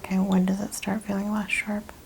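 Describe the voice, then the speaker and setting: A woman speaking softly, often in a breathy whisper, gently and carefully explaining each step as a chiropractor in an ASMR exam roleplay. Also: mid-range voice, monotone, a little fast, slight background noise. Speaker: ASMR host playing a chiropractor examining a patient.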